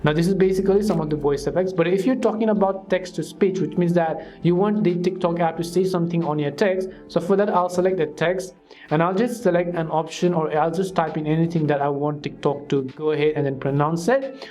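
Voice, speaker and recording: A man hosting a tutorial channel, calmly walking through app steps one by one in a scripted voice-over. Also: on the high side, brisk, faint music behind. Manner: steady step-by-step instructions with filler phrases. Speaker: tutorial host. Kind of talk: scripted screen-recording tutorial.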